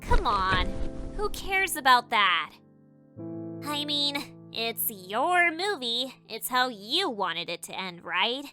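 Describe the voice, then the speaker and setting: Bright, high-pitched brash devil girl. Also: takes it slowly, soft background music, exaggerated voice. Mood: gentle, consoling. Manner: excitable, loud, blunt. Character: brash childish devil girl, loyal and boastful. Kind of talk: animated anime fan-dub acting.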